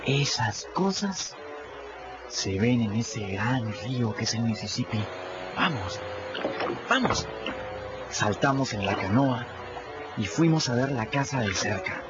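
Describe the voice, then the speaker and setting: Lively runaway boy storyteller. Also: mid-range voice, slow pace, pauses often. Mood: animated storytelling. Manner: colloquial, animated, exclamatory. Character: cheeky runaway adolescent boy narrating his river adventures. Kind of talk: radio drama storytelling in first person. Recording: muffled radio production with music behind.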